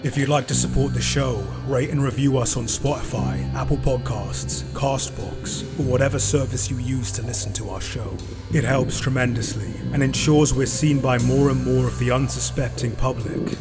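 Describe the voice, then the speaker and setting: Man reading credits over loud music. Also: mid-range voice, fairly even intonation, normal speed. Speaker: podcast announcer reading credits. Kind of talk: scripted end-credits narration. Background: prominent musical score.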